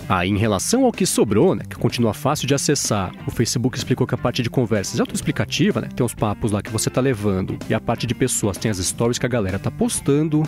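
A man with a Brazilian Portuguese accent hosting a daily tech news podcast, reading the stories in a brisk, chatty way with frequent "né" tags. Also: medium pitch, a little mellow. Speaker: podcast host.

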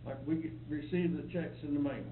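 A man's voice: fairly high pitch, steady tone, fairly quick. Hesitant man, far from the mic. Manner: hesitant, full of fillers. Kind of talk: public meeting discussion. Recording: distant, echoey, noisy, tinny audio.

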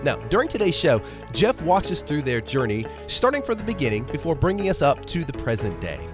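A male podcast host with a cold, sounding a bit hoarse and froggy, talking in an upbeat, affirming way. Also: a little high, sing-song, a little fast, music in the background. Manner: upbeat, affirming, frequent "yeah". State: congested from a cold. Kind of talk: podcast intro and interview.

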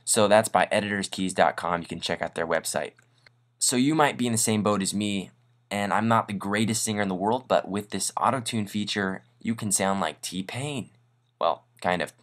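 A man hosting a casual software tutorial in an informal, upbeat, self-deprecating way. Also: fairly low pitch, moderate pace. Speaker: tutorial host. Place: voice-over on a screen recording.

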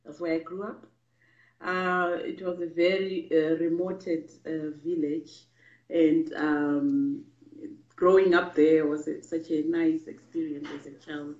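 Woman, forties, from Zimbabwe, earnest vlog talk, halting with ums, muffled roomy audio. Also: low voice, little pitch movement, darkish tone. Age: 40s. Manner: earnest, frequent ums and pauses. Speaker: vlogger and babywearing teacher. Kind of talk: personal storytelling to camera. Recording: muffled, echoey room audio.

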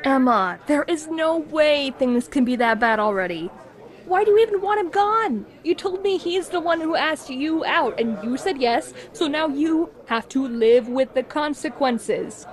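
A woman's voice: high voice, animated, a little slow. An actress playing a breezy, wry young woman, a teasing best friend, in a naturalistic audio drama. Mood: teasing, coaxing. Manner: chatty, wry.

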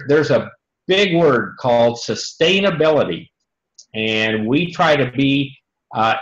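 A man's voice: fairly high pitch, a bit bright. An American man in his sixties with a folksy manner, explaining things simply to schoolchildren as a dairy farmer and often checking in with his listeners. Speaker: dairy farmer and tour guest. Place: trophy-lined office, indoors. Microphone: computer mic on a video call. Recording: muffled, echoey video call audio.

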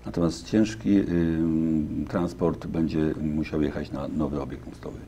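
A very deep, dark-toned man in his fifties answers questions in a television studio, pausing often and filling gaps with hesitation sounds. A faint hiss sits under his lapel-mic voice. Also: fairly even intonation. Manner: halting, many fillers and restarts. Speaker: deputy county official. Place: local TV studio.

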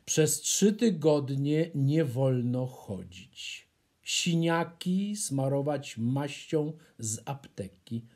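A man voicing a wise owl doctor in a children's storybook, giving instructions in a measured manner. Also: medium pitch, animated, a little mellow, exaggerated voice. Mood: instructive.

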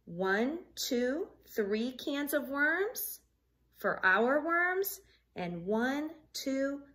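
A woman in her thirties reading a picture book aloud to children like a teacher, in an expressive sing-song way, pausing to put simple questions to them. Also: mid-range voice. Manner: expressive, sing-song storytelling with questions addressed to young listeners. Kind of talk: children's read-along with simple math questions. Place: living room at home.

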